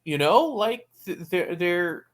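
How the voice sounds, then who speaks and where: A man's voice: high-pitched, animated, slow pace. A man co-hosting a sports podcast in casual, opinionated conversation, often restarting his phrases. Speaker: soccer podcast co-host.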